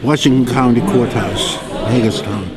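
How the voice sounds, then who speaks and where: A man's voice: medium pitch, moderate pace. A man in his nineties from Germany with a German accent, answering questions about his past in an emphatic way. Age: 90s. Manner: emphatic, restarts mid-sentence. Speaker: war veteran interviewee. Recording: loud crowd behind.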